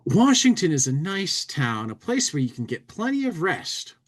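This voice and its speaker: Man, casual podcast host, lively swooping intonation, playful dramatic reader. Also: a little high, normal speed. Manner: acts out speech-bubble lines playfully. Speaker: podcast host reading an old humor magazine aloud. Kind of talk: casual chat with dramatic reading.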